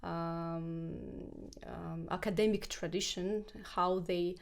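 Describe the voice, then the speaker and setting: A woman co-hosts a casual podcast with a fairly low voice. She speaks haltingly, stopping often with uhs and restarting her sentences. Her talk is loose and unscripted. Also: steady tone, gravelly. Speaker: podcast co-host, violinist. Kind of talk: casual conversational chat.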